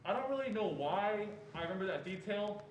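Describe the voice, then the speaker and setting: A man's voice: sits high, lively intonation, fairly quick. A young man playing a reflective narrator haunted by a childhood memory, in scripted stage narration. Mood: uneasy. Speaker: student reader in a reader's theatre performance. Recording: echoey room, distant mic, faint noise.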